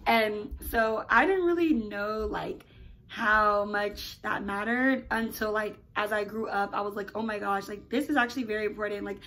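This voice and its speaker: A woman's voice: medium pitch, moderate pace, low-quality recording. A young woman, raised in America after being born in Nigeria, telling stories casually to her channel with lots of "like" and "oh my gosh". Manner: animated, chatty, lots of "like" and "oh my gosh". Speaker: YouTuber. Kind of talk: casual storytelling to camera.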